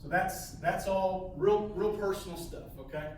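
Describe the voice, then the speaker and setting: A man leading a sales training session, halting and repeating words, often ending points with "okay?". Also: high-pitched, a little mellow. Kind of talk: coaching a training session with role-play. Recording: echoey room, mic far off, faint hiss.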